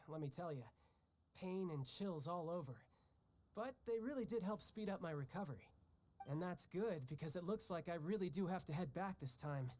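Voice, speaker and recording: A man's voice: fairly high pitch, darkish tone, lightly acted. An actor playing a cocky young male warrior who talks in a breezy, joking way. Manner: breezy, joking, laughs often. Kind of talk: video game dialogue. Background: game music.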